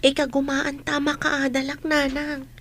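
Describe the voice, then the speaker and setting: High-pitched dutiful young Filipino daughter, played by a woman. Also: completely flat delivery, normal speed, a bit bright. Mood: curious, determined. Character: dutiful young daughter talking respectfully with her mother. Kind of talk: radio drama dialogue.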